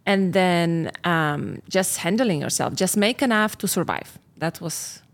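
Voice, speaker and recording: A woman in her forties from Poland, speaking with a Polish accent in a low voice. She talks earnestly and reflectively, her phrasing slightly non-native, as a relationship coach in a relaxed podcast chat. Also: measured pace. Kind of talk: casual podcast conversation.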